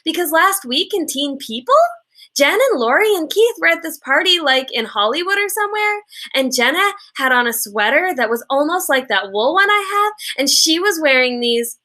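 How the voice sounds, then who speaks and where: A woman's voice: high voice, expressive, normal speed, pushed and bright. A woman voicing a chatty, haughty fifth-grade girl from a children's book, gushing in run-on sentences. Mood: gossipy, gushing.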